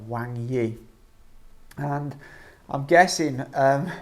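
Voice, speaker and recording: Gravelly-voiced man giving halting opinion commentary. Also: medium pitch. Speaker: online vlogger giving political commentary. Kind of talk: informal opinion commentary to camera.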